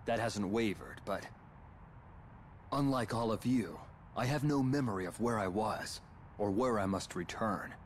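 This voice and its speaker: An actor playing an earnest young warrior with a solemn, determined manner in a video game cutscene. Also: male voice, lowish voice, expressive, unhurried, long pauses, slight background noise. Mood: troubled but resolute. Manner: solemn, plainly spoken, determined. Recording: loud background music.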